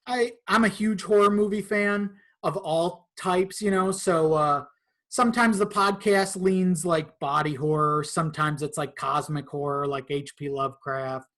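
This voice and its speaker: A man's voice: fairly high pitch, fairly even intonation, a little slow, fairly bright tone. A man chatting casually and informally as a podcast guest about his own creative work, swearing now and then. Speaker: podcast guest, horror audio drama creator.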